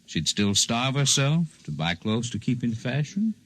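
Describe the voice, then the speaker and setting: An actor playing a calm, erudite alien healer in a 1950s radio drama, speaking in formal, precise, gently condescending phrases. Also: male voice, mid-range voice, a little slow, slight background noise. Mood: lecturing. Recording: 1950s radio broadcast recording.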